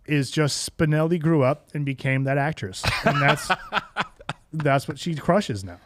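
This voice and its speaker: A man's voice: on the high side, measured pace, noisy background. A man co-hosting a podcast, chatting casually about geek culture in an emphatic, slangy way. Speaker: podcast co-host.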